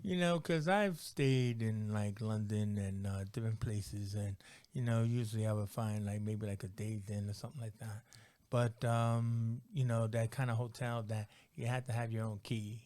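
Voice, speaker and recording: A man's voice: fairly low pitch, steady tone, moderate pace, a little mellow, no echo. Rambling, jokey American man hosting. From United States. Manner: rambling and jokey, heavy on "like" and "you know". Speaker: podcast host. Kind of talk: casual interview chat.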